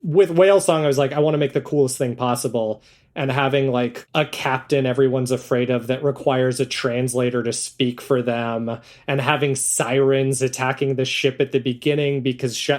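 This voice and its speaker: A man's voice: medium pitch, steady tone, moderate pace. Man chatting as an interview guest. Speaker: interview guest, audio drama creator. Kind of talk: casual interview conversation.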